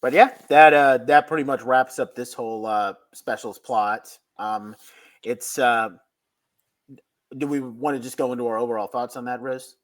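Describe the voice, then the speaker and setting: A man's voice: mid-range voice, moderate pace. A man co-hosting a podcast, recapping a story in casual conversation. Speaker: podcast co-host recapping an episode. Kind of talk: casual chat, plot recap.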